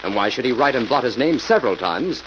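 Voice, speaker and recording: A man playing a calm, authoritative detective in 1940s radio mystery style, with a brisk, measured, commanding delivery. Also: medium pitch, natural voice. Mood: intent, deducing. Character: calm, authoritative male detective solving a murder. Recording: muffled, noisy vintage radio recording.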